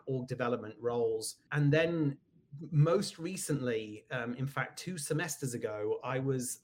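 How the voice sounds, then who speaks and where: A man in his forties with a British accent, chatting as a guest in a relaxed interview. He talks in a reflective, self-deprecating way, pausing on ums. His voice sits at an average pitch. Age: 40s. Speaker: guest, executive coach. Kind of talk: casual interview conversation.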